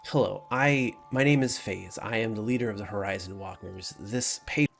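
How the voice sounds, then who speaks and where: An awkward, apologetic scout leader, a tall woman acted by a man in a tabletop game. The voice is low and gravelly. She stammers and rambles as she goes. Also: moderate pace, a little hiss or hum. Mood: flustered, apologetic.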